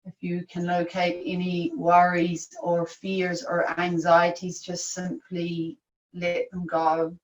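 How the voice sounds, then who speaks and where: Female yoga nidra teacher, lowish voice, soothing guided meditation, very unhurried delivery, recorded in an echoey room. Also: completely flat delivery, muffled recording. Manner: soothing, unhurried, long pauses. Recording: echoey room, distant microphone.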